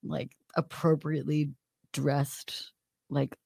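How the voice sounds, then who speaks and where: A woman in her thirties with a lowish voice, hosting a loose, chatty podcast conversation. She is self-deprecating and swears freely, and her voice sounds close and dry with no room around it. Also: flat delivery, slow pace, vocal fry, darkish tone, breathy edge, no echo. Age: 30s. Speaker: podcast host. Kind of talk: casual chat.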